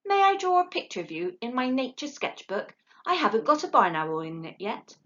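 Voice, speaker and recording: A kind young lady speaks sweetly and gently, as a woman reading a storybook voices her. Her voice moves in lively, animated tones over a slightly dull, lo-fi recording. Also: fairly high pitch, brisk, pitched above the performer's own voice. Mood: gentle.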